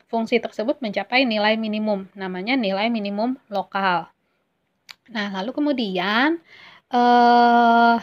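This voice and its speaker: A woman's voice: a little high, lo-fi sound. A woman math teacher, patiently walking through a worksheet and correcting herself as she goes. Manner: patient, self-correcting, stretched-out pauses. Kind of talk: explaining a worksheet lesson.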